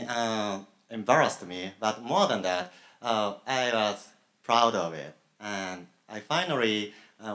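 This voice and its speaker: A young man with a Japanese accent on a graduate student panel, speaking haltingly with many fillers and pauses. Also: fairly low pitch, a bit bright. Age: young adult. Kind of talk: panel talk. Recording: echoey room.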